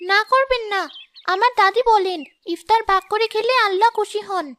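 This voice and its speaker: A woman's voice: extremely high pitch, normal speed, a bit bright. A woman voicing a kind, thoughtful little rich girl in a cartoon, speaking earnestly. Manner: earnest, pleading. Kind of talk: cartoon voice acting.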